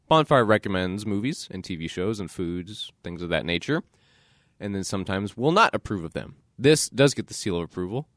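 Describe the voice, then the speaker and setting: A man hosting a casual solo podcast, his voice stuffed up and nasal from a cold, talking with animated, playful energy. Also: on the low side, dry recording, studio quality. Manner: animated, playful self-promotion. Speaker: podcast host.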